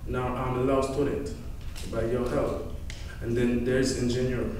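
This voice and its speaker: A young man in his twenties with a Haitian accent gives an earnest, halting speech to an audience, restarting phrases as he goes. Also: medium pitch, little pitch movement, a little mellow, slight background noise. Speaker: former orphan, law student giving a testimonial. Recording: echoey hall, speaker far from mic.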